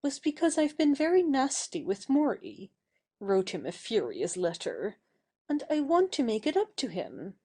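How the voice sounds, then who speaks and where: A woman's voice: on the high side, very animated, measured pace, dark tone, breathy edge, heavily acted. A woman playing a proud, impulsive young society woman with a theatrical, changeable manner in an audio stage play. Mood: contrite.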